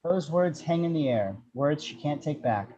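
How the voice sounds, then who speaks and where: A man's voice: fairly high pitch, expressive, normal speed, warm tone, muffled recording. A man reading scripted action descriptions as narrator of an amateur table read. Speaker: narrator of stage directions.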